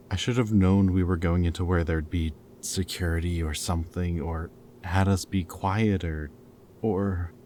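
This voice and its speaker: With clear background noise, a man acts out a captured rebel scientist with a very low voice. He is caring and weighed down by guilt, talking haltingly and earnestly, as in an intimate dramatic audio roleplay. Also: a little mellow. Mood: worried, apologetic. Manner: hesitant, halting, casual and earnest.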